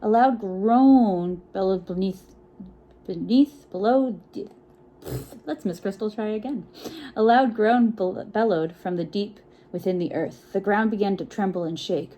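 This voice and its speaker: A woman reading a picture book aloud to children in an expressive, encouraging way, with chatty asides and frequent check-in questions. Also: mid-range voice, unhurried, lo-fi sound, slight background noise. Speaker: children's storytime reader.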